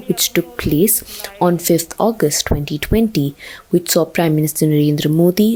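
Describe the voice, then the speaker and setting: Slow, mellow Indian woman narrating news. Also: low voice, low-quality recording. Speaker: reporter narrating a news video. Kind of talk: scripted narration.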